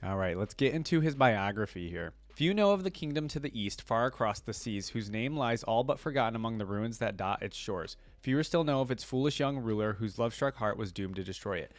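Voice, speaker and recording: A man's voice: on the low side, animated, a little fast, hardly any pauses, dry recording. A man hosting a reaction video in a casual style, reading lore aloud and adding conversational asides of his own. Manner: conversational asides on what he reads.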